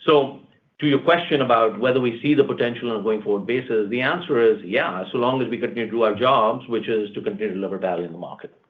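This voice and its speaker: A man, a company executive, answering analysts on an earnings call, talking quickly with restarts and stock phrases. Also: medium pitch. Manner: fast, repeats words, leans on "look" and "at the end of the day". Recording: tinny phone-line audio.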